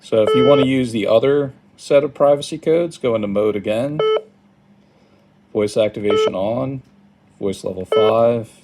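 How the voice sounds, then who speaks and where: A man giving a casual step-by-step how-to, halting and thinking aloud, recorded indoors at a tabletop. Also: medium pitch. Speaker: product tutorial presenter. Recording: clearly noisy home recording, button clicks.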